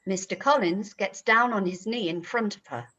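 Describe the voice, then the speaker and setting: Female narrator, husky mid-range voice, reading scripted stage directions for radio drama. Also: medium pitch, moderate pace, fairly bright tone, dull audio.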